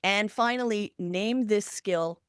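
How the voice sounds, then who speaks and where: A woman's voice: mid-range voice, slow pace, fairly bright tone, clear, resonant tone, dry recording, clean studio sound. A woman hosting a podcast, talking through practical examples in an earnest, instructional way. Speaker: podcast host. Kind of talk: structured explanatory talk to listeners.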